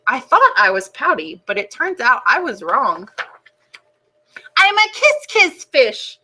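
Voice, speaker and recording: A woman's voice: high voice, wildly swooping pitch, slow pace, sharp tone, breathy edge, exaggerated voice, low-quality recording. Woman doing a mopey pout pout fish. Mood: cheery. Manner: mopey sing-song rhyming. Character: glum gloomy male fish, always pouting. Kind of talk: storybook read-aloud.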